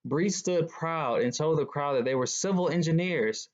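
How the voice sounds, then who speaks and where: A man's voice: a little high, normal speed. A man reading a children's picture book aloud as a guest reader. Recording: dull, lo-fi sound.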